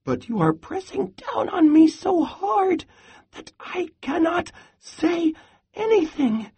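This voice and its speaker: A man's voice: extremely high pitch, sing-song, slow, warm tone, voice pushed up, full character voice. A man voicing a small, chirpy young male squirrel from a folk tale, piping and teasing in a sing-song way. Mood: pleading, squeezed.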